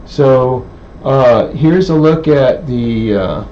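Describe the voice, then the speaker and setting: A man presents a hands-on electronics how-to without a script. His pitch is middling and his delivery hesitant, as if working out each sentence while he goes. The sound is dull and lo-fi, with clear background noise. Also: mellow. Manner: halting, hesitant, thinking aloud. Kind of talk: unscripted technical demonstration. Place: indoor electronics workbench.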